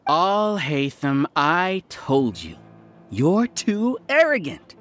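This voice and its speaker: An arrogant mastermind of a video game, lording it over a hive mind. The delivery is grandiose and theatrical, with very lively pitch swings over loud game music. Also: male voice, slow pace, heavily acted. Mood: haughty, menacing. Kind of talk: video game villain acting.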